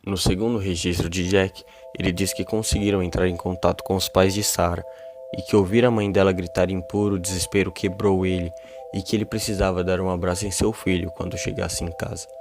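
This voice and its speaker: A man's voice: low voice, flat delivery, fairly quick. A man with a Brazilian accent narrating and explaining a horror video in an informal way. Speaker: YouTube narrator. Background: music.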